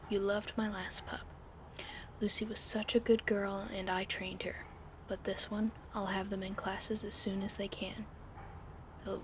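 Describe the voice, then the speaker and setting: A woman whispering an ASMR roleplay in a soft, soothing, coddling way over an audible fan hum. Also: mid-range voice.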